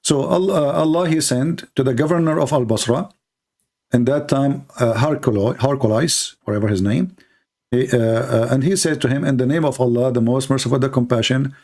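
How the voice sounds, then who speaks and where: A man, Arabic his first language, argues in English with a rough, gravelly edge. He hammers the same points again and again in a pressing debating manner. His pitch sits in an ordinary middle range. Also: fairly quick, a little mellow. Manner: insistent, repeats catchphrases. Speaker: debate host. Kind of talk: heated debate.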